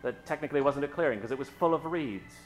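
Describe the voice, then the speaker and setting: A man narrating a radio play in a theatrical, suspenseful manner with dramatic pauses. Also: medium pitch, faint music behind.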